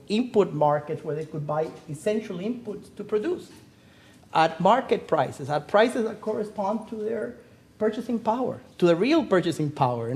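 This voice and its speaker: A man's voice: fairly high pitch, warm tone. Earnest Cuban-born man giving a seminar talk. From Cuba. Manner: earnest, emphatic, rhetorical questions. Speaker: panelist speaking on the economy. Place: theater.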